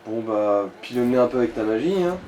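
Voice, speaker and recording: Mellow man coaching a game. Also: mid-range voice, expressive, a little fast, reverberant, a little hiss or hum. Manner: coarse, slangy, instructive. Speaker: let's play co-host guiding the player. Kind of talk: casual gaming commentary.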